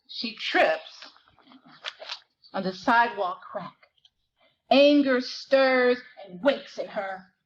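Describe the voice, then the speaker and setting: A woman reading literary fiction dramatically, in measured, deliberate phrases with weighted pauses. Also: on the high side, dull audio. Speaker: dramatic reader at a library performance. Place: live reading at a library event.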